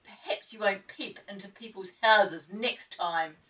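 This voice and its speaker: A woman's voice: mid-range voice, measured pace, bright, forceful tone, distant mic, muffled recording, pitched above the performer's own voice. A woman voicing an angry, indignant little pixie man in a children's storybook, scolding rudely. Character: angry little old-fashioned male pixie, rude and indignant.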